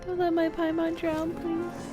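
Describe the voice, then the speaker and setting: A woman's voice: very high-pitched, completely flat delivery, a little slow, over loud music. A young woman streamer giving casual, playful gameplay commentary in slangy exclamations. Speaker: game streamer.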